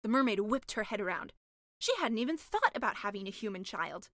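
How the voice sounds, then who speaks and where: A woman reading a comic fairy tale as a scripted storybook narrator, expressive and mock-dramatic. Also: medium pitch, fairly quick, no echo, studio quality. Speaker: author reading own story.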